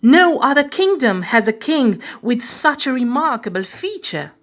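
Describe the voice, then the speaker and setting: Woman doing the king's cheery storybook pal, voice pushed higher, breezy and reassuring, music behind. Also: very animated, measured pace, fairly bright tone, exaggerated voice. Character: cheerful, reassuring best friend of a king. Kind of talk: storybook character voice.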